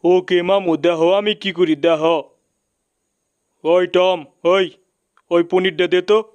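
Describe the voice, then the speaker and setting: A man's voice: high voice, steady tone, takes it slowly, darkish tone, clear, resonant tone, dry recording. A man voicing a cheeky, naive young cartoon mouse nephew with a colloquial Bangladeshi accent and a playful, teasing way of talking. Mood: eager. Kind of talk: comic cartoon dub.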